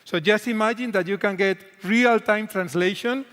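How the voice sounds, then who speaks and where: A man's voice: high voice, normal speed, fairly bright tone. A middle-aged man with a strong Spanish accent, a native Spanish speaker, talking earnestly as a guest executive on a keynote stage, with some restarts. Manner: earnest, with restarts in second-language English. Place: large convention hall stage. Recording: echoey large hall.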